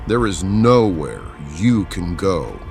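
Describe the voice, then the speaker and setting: A menacing cardinal who acts as if he is all-seeing, acted out by a male narrator. His voice is very low and slow, with pompous, commanding authority. Faint music runs underneath. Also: big pitch swings, creaky, exaggerated voice. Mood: cold menace. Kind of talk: dramatic audiobook character acting.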